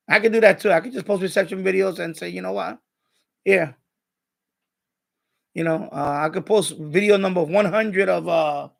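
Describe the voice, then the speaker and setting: A man's voice: high voice, vocal fry. An older man hosting a live stream with casual, rambling chat, often hesitating and repeating himself.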